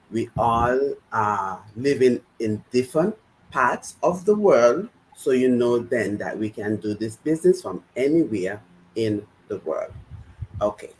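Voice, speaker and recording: A woman's voice: rumbling low, slight background noise, low-quality recording. A woman from the US Virgin Islands hosting a casual online business talk show, greeting and introducing her guests. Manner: lively, chatty, drawn-out greetings. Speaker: host.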